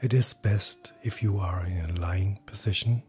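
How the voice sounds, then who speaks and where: A man speaking as a hypnotherapist, guiding sleep hypnosis in a calm, gentle, breathy voice that often nears a whisper. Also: sits low, measured pace. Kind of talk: guided hypnosis, soothing scripted narration. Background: soft background music.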